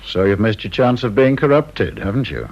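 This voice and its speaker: Teasing senior interviewer, British, low-pitched, husky, dry mock courtesy, radio play. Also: male voice, big pitch swings, normal speed, heavily acted. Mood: sardonic, probing. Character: sardonic, talkative male senior security interviewer. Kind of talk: radio drama acting. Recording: dull, muffled old radio recording.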